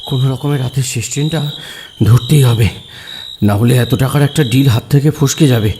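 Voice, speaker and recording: A man playing a greedy, self-serving government officer in an animated cartoon, expressive and full of exclamations. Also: medium pitch, brisk, a little hiss or hum, lightly acted. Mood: hurried, relieved. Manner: expressive, exclaiming, talks to himself. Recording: music bed behind the voice.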